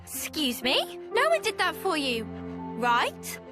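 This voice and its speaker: Very high-pitched brash British kid. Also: female voice, wildly swooping pitch, takes it slowly, music in the background, exaggerated voice. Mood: cheeky. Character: brash, boisterous child fighter, cheeky and excitable.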